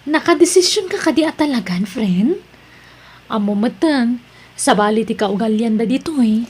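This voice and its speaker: A woman's voice: a little high, expressive, moderate pace, a little mellow, breathy edge. A woman playing a caring, devout, chatty friend in an Ilocano drama, with an Ilocano accent. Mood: caring, inquisitive. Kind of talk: Ilocano radio-style drama acting.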